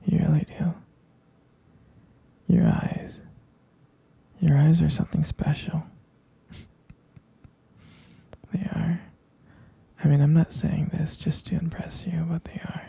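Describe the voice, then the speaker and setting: An ASMR roleplayer speaks as a doting sweetheart in a very husky, half-whispered murmur. The voice is heavy with gravelly fry and lingers slowly over hesitant, affectionate phrases. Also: medium pitch, flat delivery, dark tone. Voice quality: breathy. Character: tender, doting vampire lover soothing a sweetheart. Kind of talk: intimate ASMR romantic roleplay.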